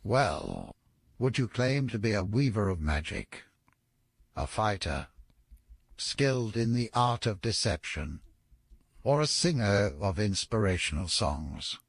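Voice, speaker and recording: A gravelly, mysterious mentor from a fantasy audio game. He speaks slowly and deliberately, with wide theatrical swings in pitch. His calm delivery stays cryptic throughout. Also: dry recording, exaggerated voice. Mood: probing, mysterious. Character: mysterious calm male mentor recruiting a young adventurer.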